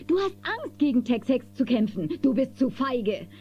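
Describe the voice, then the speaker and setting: A woman playing a fiery, outspoken frontier townswoman with a scornful, forceful manner in a children's radio play. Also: sits high, big pitch swings, moderate pace. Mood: mocking. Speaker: actor in audio drama. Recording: dull, noisy old cassette.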